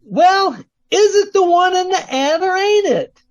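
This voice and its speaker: A man's voice: very high-pitched, measured pace. An American man playing a cocky small-time fur thief with slangy tough talk in 1940s radio crime style. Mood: cocky, brusque. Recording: dull, lo-fi video-call sound.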